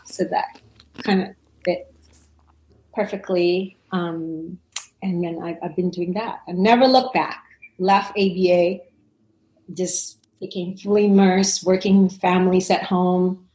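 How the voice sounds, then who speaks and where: A woman's voice: fairly low pitch, fairly even intonation, takes it slowly. Guest voice: woman in her forties from Indonesia, chatty and laughing, recorded in a reverberant room. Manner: chatty, warm, laughs often, many restarts. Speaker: parent coach, guest. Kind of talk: casual interview answers.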